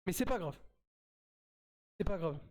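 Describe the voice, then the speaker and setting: A man's voice: high-pitched, animated, unhurried, a little mellow. A man with a French accent and a slight cold, commentating his own mobile game live as a streamer, chatty and repeating himself as he plays.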